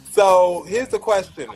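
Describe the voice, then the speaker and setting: A man's voice: sits high, low-quality recording. A man hosting an opinionated panel debate, blunt and needling in the way he talks. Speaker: host of a hip-hop debate show.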